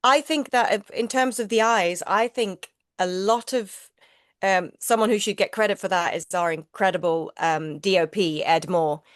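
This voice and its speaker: A woman's voice: medium pitch, normal speed, dry recording, studio quality. A middle-aged woman whose first language is Swedish, an actor chatting in an interview, talking freely with many restarts and repeated words. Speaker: actor guest. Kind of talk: casual interview chat.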